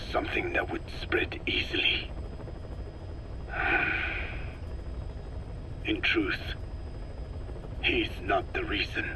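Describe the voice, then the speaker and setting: An actor playing a grave, cryptic old scientist in a video game, with a breathy, raspy voice and formal, deliberate phrasing. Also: male voice, deep voice, full character voice. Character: frail, ancient scientist, grave and cryptic elder. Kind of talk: dramatic video game voice acting, expository. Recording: clearly noisy taped field recording.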